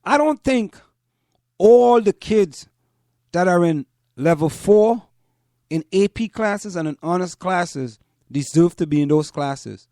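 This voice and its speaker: High-pitched man, deliberate talker. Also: expressive, warm tone, no room sound, clean studio sound. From Trinidad and Tobago. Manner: deliberate, repeats phrases for emphasis. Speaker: host of a community parents' talk show. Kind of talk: spoken commentary to listeners.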